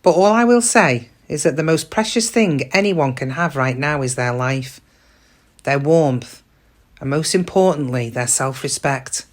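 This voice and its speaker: A woman's voice: low-pitched, unhurried. A middle-aged woman playing a downtrodden shop cashier in a reflective, confiding, plain-spoken dramatic monologue about her regrets. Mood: reflective, hopeful. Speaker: actor in a monologue. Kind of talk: first-person dramatic monologue.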